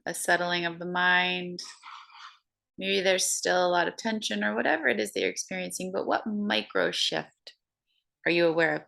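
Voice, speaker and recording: A woman guiding a breathing meditation, counting slowly in a soft, soothing way. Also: fairly low pitch, creaky. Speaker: meditation guide.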